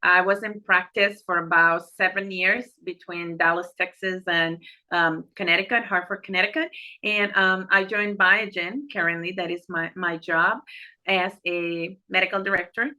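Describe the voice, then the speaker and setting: Casting: middle-aged Puerto Rican-accented woman, Spanish native, earnest conversational interviewee who doubles back on words, very bright and forward voice. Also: on the low side, steady tone, normal speed, low-quality recording. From Puerto Rico. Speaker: interview guest, neurologist and medical director. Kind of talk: conversational interview answers about life and work.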